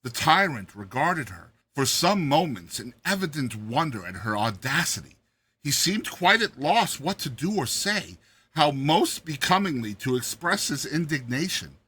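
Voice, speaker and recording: A man's voice: mid-range voice, pushed and bright, breathy edge. A man narrating a classic tale as a dramatized reading, theatrical and emphatic.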